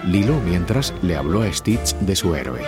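Casting: male children's storyteller, deep, lively intonation, music bed. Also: moderate pace. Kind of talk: scripted children's audio story narration.

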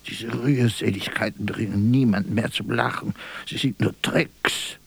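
A man playing a world-weary, self-mocking stage actor in a radio drama monologue, declaiming haltingly with bitter irony and often sinking to a breathy whisper. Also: fairly low pitch, a bit bright, exaggerated voice. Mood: weary, melancholy.

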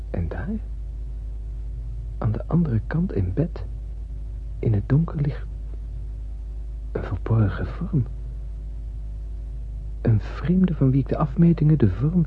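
A man's voice: mid-range voice, big pitch swings, unhurried, long pauses, faint music behind. An actor playing a wondering, nervous spaceman who narrates a radio drama in a musing, theatrical way. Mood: nervous, hushed. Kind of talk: dramatic first-person radio play narration. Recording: narrow lo-fi old radio recording, faint noise.

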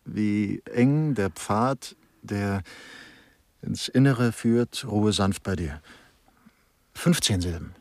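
An actor playing a droll, cynical investigator in a radio play, theatrical when reciting and offhand in his asides, with a husky voice. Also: fairly low pitch, very slow, no room sound. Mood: offhand, mock-poetic. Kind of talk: German radio play dialogue.

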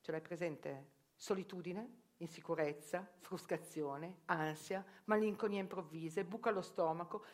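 A woman's voice: fairly low pitch, unhurried, hardly any pauses. A middle-aged woman, a journalist, performing a theatrical monologue in an ironic, self-questioning manner that rises to urgent outbursts. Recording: radio broadcast with faint music. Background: live music.

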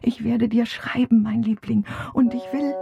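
A woman playing a devoted, tender nursemaid in a German radio drama, husky and half-whispering. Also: fairly high pitch, level tone, normal speed, lo-fi sound, over music. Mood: tender, sorrowful. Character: tender, devoted female nursemaid comforting a child.

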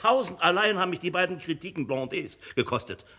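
An actor in a German radio drama playing an imperious, cynical publisher who talks in a blustering, condescending way. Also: male voice, high voice, animated, fast talker, sharp tone. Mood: cynical, grandiose. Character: imperious, cynical, boastful male publisher and bookseller.

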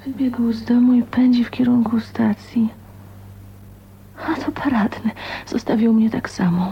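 An actress playing a shy, lonely, dreamy young spinster in a radio drama, speaking hesitantly in a hushed, intimate way. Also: female voice, fairly high pitch, fairly even intonation, unhurried, slightly rough, heavily acted. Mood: hurt. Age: young adult. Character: shy, lonely, dreamy 29-year-old spinster, wistful and wounded. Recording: dull, noisy 1980s radio drama recording.

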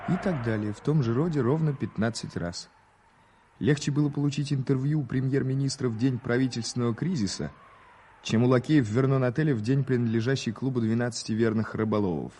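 An actor playing an eager, ironic American newspaper correspondent in a radio play, theatrically formal and wry. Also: male voice, mid-range voice, fairly quick, dark tone, breathy edge, natural voice. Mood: wry, exasperated. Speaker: actor narrating and playing a reporter. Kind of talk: radio play storytelling and dialogue.

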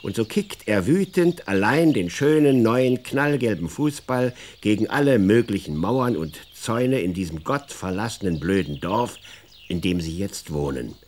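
A man's voice: lowish voice, animated, moderate pace, a little mellow. A man narrating a scripted children's story, addressing his young listeners directly as a storyteller.

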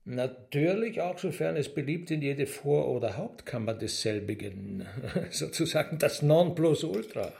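An actor playing a gushing, theatrical demon courtier in a radio play, effusive and giggly in manner. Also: male voice, a little high, animated, unhurried, creaky, a little mellow. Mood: gleefully rambling.

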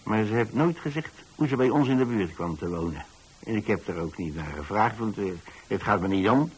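A man's voice: on the low side, lively intonation, a little fast, slightly rough, rough recording. An actor playing an older, plain-spoken pensioner in a radio drama, telling his story in a hesitant, repetitive, folksy way. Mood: musing. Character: rambling, plain-spoken old pensioner who takes in lodgers. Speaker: narrator of a radio play.

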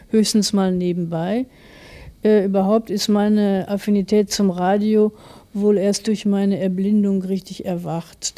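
Mellow woman in her forties, explaining. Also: mid-range voice. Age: 40s. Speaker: interviewed writer. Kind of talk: explanatory interview talk.

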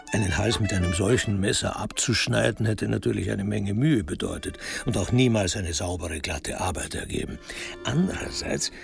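A man narrating a literary crime radio drama in a measured, precisely articulated way. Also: mid-range voice, creaky.

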